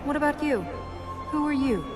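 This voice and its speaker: A woman's voice: high voice, moderate pace, lightly acted. A woman playing a proud, earnest young princess in a video game, questioning and retorting dryly in conversational banter. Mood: challenging. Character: proud, sharp-witted young princess, earnest and easily exasperated. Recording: music behind the voice.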